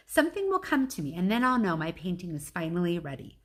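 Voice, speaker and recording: A woman's voice: fairly low pitch, big pitch swings, fast talker. A woman voicing a thoughtful, earnest male gorilla in a storybook, with a patient way of talking. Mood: pensive.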